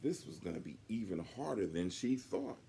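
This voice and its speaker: A man reading a picture book aloud to children in an animated way, with chatty asides. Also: lowish voice, normal speed, creaky, slight background noise. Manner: chatty asides to young listeners.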